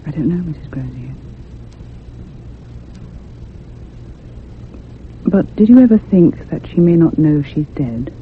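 A man playing a troubled, sensitive narrator in a radio ghost story, speaking in measured, earnest, literary phrases. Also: on the high side, animated, slightly rough. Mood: gentle, pensive. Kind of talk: dramatic radio horror narration. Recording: tinny, narrow old radio recording with hum.

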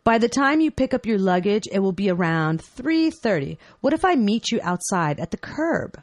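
A woman's voice: medium pitch, big pitch swings, normal speed, natural voice. A woman playing a helpful traveller's companion in a scripted lesson dialogue. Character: helpful woman planning an airport trip.

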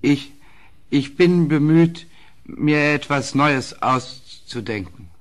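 An actor playing a humiliated dwarf court jester in a German audio drama, anxious, halting and submissive. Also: male voice, fairly high pitch.

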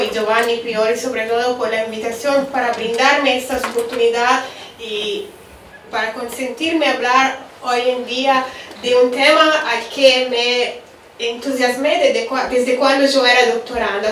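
A woman's voice: fairly high pitch, a bit bright, slight background noise. A middle-aged woman with an Italian accent, a native Italian speaker, giving an explanatory academic lecture as a professor. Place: wood-panelled university seminar room. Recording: very echoey hall, distant.

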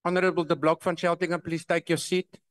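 Male presiding officer, high, dry voice, authoritative, keeps order. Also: flat delivery, fast, no room sound. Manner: firm, authoritative, repeats rulings. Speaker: presiding officer chairing a session. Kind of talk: chairing a parliamentary debate. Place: large parliamentary chamber, indoors. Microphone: desk microphone.

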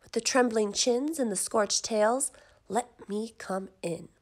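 A woman voicing a big bad male pig who makes loud, drawn-out threats in a storybook. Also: fairly high pitch. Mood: menacing.

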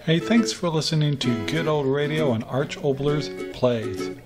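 Male podcast host, slightly high voice, scripted sign-off over prominent guitar music. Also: a little slow. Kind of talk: scripted outro.

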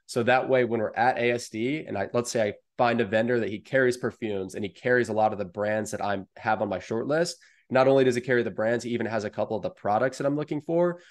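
A man's voice: medium pitch, fast talker, few pauses. A young American man giving explanatory advice as an interview guest on a video call. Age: young adult. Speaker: guest, online wholesale seller. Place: indoor room at home, video call.

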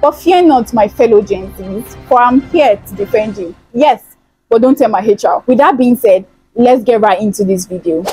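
A woman's voice: a little high, moderate pace, mellow, slightly rough, faint music behind, low-quality recording. A young woman, hosting a chatty gossip vlog in a playful, teasing way on a lapel mic in an indoor studio. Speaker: host. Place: indoor studio, plain backdrop.

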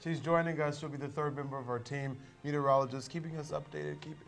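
A man's voice: on the high side, fairly even intonation, fast talker, gravelly, a bit bright. A young man co-hosting a student morning show in a campus TV studio, bantering playfully and teasing. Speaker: student news co-host.

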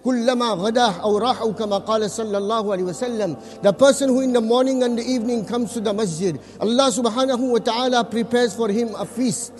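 A male imam delivers a Friday sermon in a high, light voice. He preaches earnestly, reciting scripture quickly and repeating phrases to drive a point home. Soft music sits faintly behind him.

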